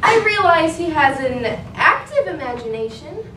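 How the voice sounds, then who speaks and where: A teenage girl on stage playing a gentle mother and narrating in a theatrical, scripted style. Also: high voice, very animated, normal speed, exaggerated voice. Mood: measured. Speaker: student performer and narrator. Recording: echoey stage, distant mic, faint noise.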